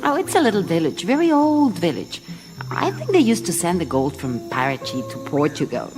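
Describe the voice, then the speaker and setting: A woman's voice: on the low side, big pitch swings, a little fast, over music, poor audio. An actress playing a playful, laid-back woman, speaking in short phrases in a radio drama. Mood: dreamy, relaxed. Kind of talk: naturalistic radio drama dialogue.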